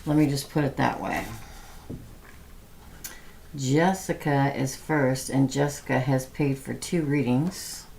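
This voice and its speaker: A hoarse woman who is losing her voice, hosting a livestream reading and chatting softly and slowly. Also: extremely low pitch, steady tone, a little hiss or hum. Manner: soft-spoken, slow and chatty. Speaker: tarot reader hosting a livestream. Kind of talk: casual livestream chat.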